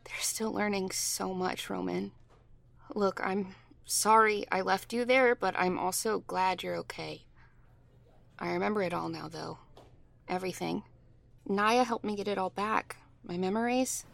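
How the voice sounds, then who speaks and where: A woman's voice: mid-range voice, unhurried, gravelly. An actress playing a resilient, reassuring mother in a horror audio drama, speaking in a casual, apologetic way. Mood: tender, relieved. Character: reassuring, resilient mother reunited with her partner.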